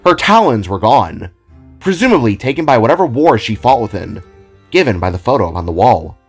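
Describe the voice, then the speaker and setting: Male narrator, lively and expressive scripted storytelling, medium pitch, faint music bed. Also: brisk, breathy edge. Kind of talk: scripted audio drama narration. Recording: music behind the voice.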